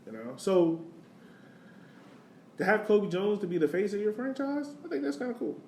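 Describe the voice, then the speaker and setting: A man's voice: sits high, big pitch swings, moderate pace, darkish tone. An American man co-hosting a podcast, chatting casually about sports. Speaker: podcast co-host. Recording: roomy, distant mic, faint background noise.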